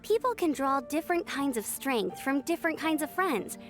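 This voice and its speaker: A woman's voice: sits high, lively intonation, normal speed, faint music behind. A woman voicing a caring, earnest young woman in an anime game.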